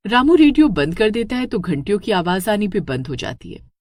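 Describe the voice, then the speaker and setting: A woman's voice: medium pitch, sing-song, fairly quick, a little mellow, no room sound, studio quality. A woman narrates a scripted horror story in a dramatic, suspenseful way.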